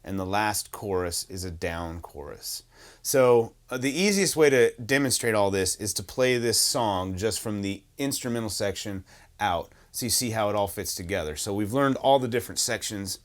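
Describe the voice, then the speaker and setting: A man with a baritone voice teaching a worship song on guitar, talking in a relaxed, self-deprecating way full of ums and uhs. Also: moderate pace. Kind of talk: casual guitar tutorial talk. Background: acoustic guitar playing.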